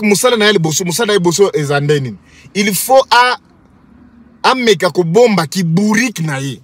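A man's voice: fairly high pitch, a bit bright, slightly rough, no room sound. A man, giving a scornful, insulting commentary from inside a parked car to his phone camera. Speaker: commentary vlogger. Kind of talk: mocking commentary vlog in Lingala and French. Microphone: phone camera at arm's length.

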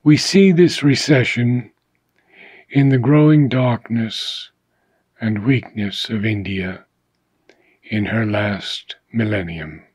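Man, husky voice, slow deliberate reader, philosophical prose, dry close sound. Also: lowish voice, a little mellow, no room sound. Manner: measured, deliberate, phrase by phrase with pauses. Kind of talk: audiobook-style reading of philosophical prose.

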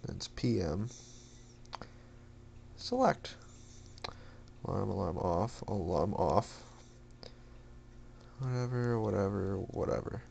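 Guy muttering through a gadget setup, real slow and creaky, bit of noise behind him. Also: lowish voice, animated, darkish tone. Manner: muttering to himself, reading screen prompts aloud. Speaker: amateur gadget reviewer. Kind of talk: casual unboxing and setup commentary. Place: small indoor room at home. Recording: faint background noise with device clicks.

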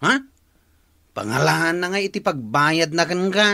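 Bright-voiced, scolding village husband. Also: male voice, a little high, animated, moderate pace, vocal fry, dry recording, studio quality, full character voice. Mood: matter-of-fact. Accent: Ilocano. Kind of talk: Ilocano radio comedy drama acting.

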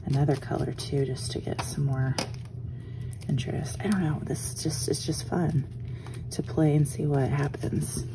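A woman with a hoarse morning voice, chatting casually as she walks through a craft tutorial at her desk, often trailing off into soft murmurs. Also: sits low, steady tone, a little slow, background noise. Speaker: art journaling instructor. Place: home craft desk, indoors.

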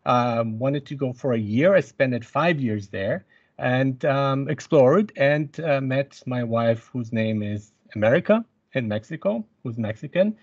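Halting man on a dull video call. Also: mid-range voice, lively intonation. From born in Iran, grew up in Germany. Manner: halting, many fillers and restarts. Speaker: university instructor giving a talk. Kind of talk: presentation over video call. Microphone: video call webcam mic.

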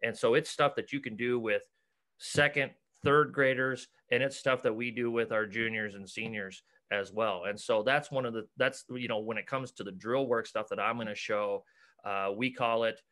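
Middle-aged American male coach, forceful bright tone, conversational, stop-and-start delivery with repeated words. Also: mid-range voice, steady tone, moderate pace. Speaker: basketball coach and clinic organizer. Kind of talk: casual video-call interview. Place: cluttered room at home, on a video call. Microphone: video call.

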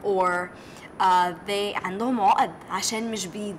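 A woman's voice: medium pitch, steady tone, quick pace. A young woman, from Egypt and raised in the United States, talking casually with lots of fillers and switching into Arabic now and then. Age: young adult. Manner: chatty, many fillers, slips into Arabic. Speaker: documentary subject. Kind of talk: casual interview talk. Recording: audible background noise.

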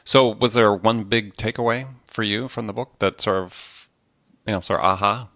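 A man's voice: on the low side, steady tone, normal speed, a bit bright, no echo, clean studio sound. A middle-aged man hosting a podcast in a casual conversational style. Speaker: podcast host.

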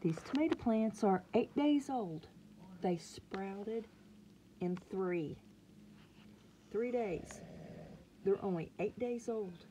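A woman chatting excitedly about her seedlings, sometimes dropping to a murmur, recorded on a handheld phone indoors. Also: medium pitch, slow pace, long pauses, a little hiss or hum, poor audio. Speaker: gardening vlogger. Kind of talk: casual home gardening vlog. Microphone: phone camera held close.

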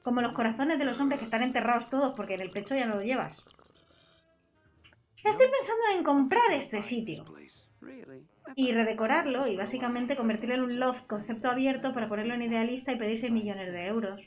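A woman's voice: a little high, expressive, fairly quick, soft background music. A woman from Spain playing a video game, reading its dialogue aloud in playful voices and chatting along. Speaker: let's play streamer. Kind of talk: game playthrough, voicing characters.

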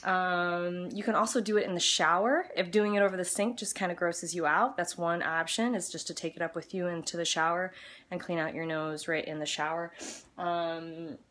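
A woman in her thirties, a little hoarse from a cold, chatting casually with lots of ums as she shows a home remedy in her kitchen. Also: lowish voice, fairly even intonation, moderate pace, a bit bright. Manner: chatty, with many ums and uhs. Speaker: natural health blogger. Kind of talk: casual how-to vlog. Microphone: built-in camera mic, close and sometimes farther off.

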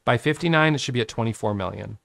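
A man in his late thirties giving a casual whiteboard lesson on trading from his home office, talking with his hands into a boom-arm studio mic. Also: quick pace, vocal fry, no echo. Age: 30s. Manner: animated, talks with his hands, drawing on a whiteboard. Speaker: trading educator. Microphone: studio microphone on a boom arm.

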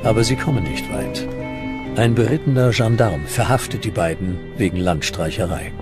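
A man narrates a historical documentary in a fairly low voice. He reads from a prepared script, with clearly audible music underneath.

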